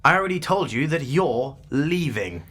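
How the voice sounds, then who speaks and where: Brusque, secretive agent with lively pitch. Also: male voice, moderate pace. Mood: curt, impatient. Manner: clipped, formal, scornful. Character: brusque, secretive male agent, impatient and dismissive. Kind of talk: audio drama acting.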